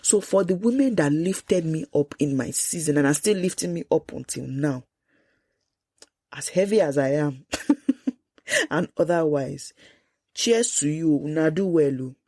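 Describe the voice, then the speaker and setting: A Nigerian woman in her thirties hosting a solo podcast, congested with a sore throat, talking in an emphatic, animated way. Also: sits low, a little slow, long pauses, no echo, studio quality. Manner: animated, emphatic, quotes people in lively asides. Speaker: podcast host.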